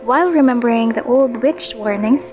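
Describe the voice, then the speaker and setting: A woman's voice: fairly high pitch, moderate pace, narrow, tinny audio, faint music behind. A woman narrating a radio play in a scripted storytelling style.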